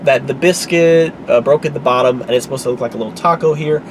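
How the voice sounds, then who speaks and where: A man hosting a casual food review vlog, talking in an easygoing, rambling way with many fillers, sounding a little congested and hoarse. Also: medium pitch, quick pace, a little hiss or hum, rough recording.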